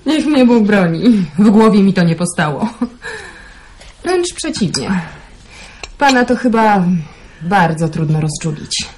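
Mocking young woman, radio drama, slow drawl, very varied intonation, noisy recording. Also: mid-range voice, very animated, a little mellow. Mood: teasing. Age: young adult. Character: teasing, melancholy young woman, orphaned only child. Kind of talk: Polish radio drama acting.

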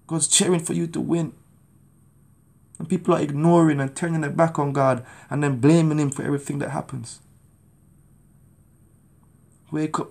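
A man's voice: on the high side, gravelly, darkish tone. A man in his thirties from Jamaica, preaching his testimony to a phone camera in a small room at home, urgent and pleading. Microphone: phone camera at arm's length.

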